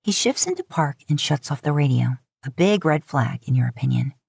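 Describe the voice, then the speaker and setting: Casting: female audiobook-style narrator, low register, dry close sound, scripted fan fiction. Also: animated, normal speed, gravelly, darkish tone, breathy edge, dry recording. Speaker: podfic narrator.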